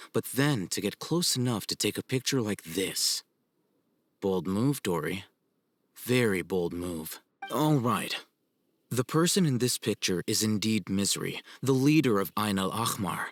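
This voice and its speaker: A husky, composed scheming scholar. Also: male voice, fairly low pitch, a bit bright, no room sound. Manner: measured, deliberate, polite. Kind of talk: video game cutscene acting. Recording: faint music behind the voice.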